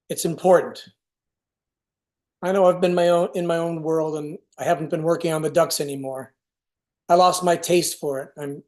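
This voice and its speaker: A man playing a tired, evasive, ashamed alcoholic father in a staged play reading, speaking haltingly. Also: on the high side, a little mellow, lightly acted. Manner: hesitant, broken, halting phrasing.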